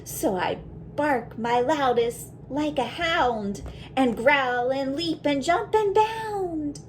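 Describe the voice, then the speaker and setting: A woman voicing a brave, imaginative little schoolgirl in a picture book, with dramatic, emphatic, drawn-out delivery. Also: high-pitched, lively intonation, slight background noise, voice pushed up. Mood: bold, excited.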